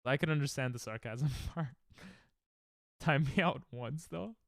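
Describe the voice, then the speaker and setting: A man's voice: a little high, a little slow, gravelly, a bit bright, no echo, studio quality. A man streaming a game, chatting casually and sarcastically with his viewers. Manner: sarcastic, joking banter with chat. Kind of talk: casual live-stream chat while gaming.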